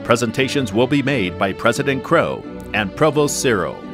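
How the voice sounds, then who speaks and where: A man's voice: medium pitch, sing-song, moderate pace. A man makes formal, scripted ceremony announcements in a dry voice-over. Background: faint music.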